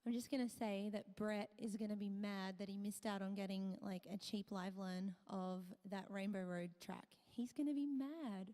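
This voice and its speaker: A woman streamer chatting casually with her viewers, her voice hoarse and tired, half whispering. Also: medium pitch, steady tone, moderate pace, few pauses, warm tone, in a large hall, soft background music. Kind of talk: casual live-stream chat.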